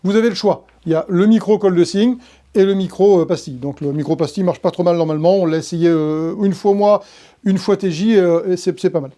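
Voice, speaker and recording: Guy doing a diy tutorial, highish voice, mellow, chatty step by step explaining. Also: fairly even intonation, a little fast. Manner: conversational, thinking aloud while handling gear. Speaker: tutorial host, tree-work professional.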